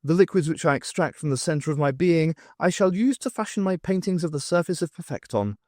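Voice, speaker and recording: A pompous, eccentric art professor, as a male narrator plays him in an audiobook. He is furtive and florid, speaking in a fairly high, very animated voice with a dry, close sound. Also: fairly quick, no echo, lightly acted. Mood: pompous, conspiratorial.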